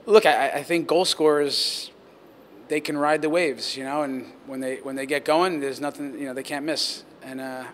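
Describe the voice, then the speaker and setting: A man in his forties, a head coach answering post-game questions at reporters' handheld mics, talking in a measured, earnest way with many restarts. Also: mid-range voice, fairly even intonation. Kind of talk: post-game press interview. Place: press backdrop area, indoors. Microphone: handheld reporter mics held close.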